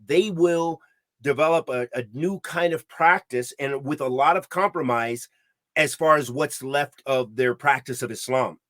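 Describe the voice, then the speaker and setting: A man co-hosting a podcast, talking in an emphatic, preachy way with many restarts and Arabic religious terms, getting louder when heated. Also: medium pitch, a little slow, no echo, studio quality. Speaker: podcast co-host. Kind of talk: impassioned podcast discussion.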